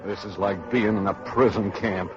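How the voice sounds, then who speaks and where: A man's voice: on the low side, steady tone, moderate pace, natural voice. An actor playing a bitter male labourer in a radio drama, grumbling and complaining. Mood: disgruntled. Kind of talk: 1950s radio drama acting. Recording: muffled, noisy old radio recording.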